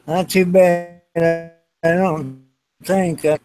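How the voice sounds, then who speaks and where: A man joining a live video call, talking in a halting, hesitant way. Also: high voice, little pitch movement, music in the background. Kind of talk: casual chat.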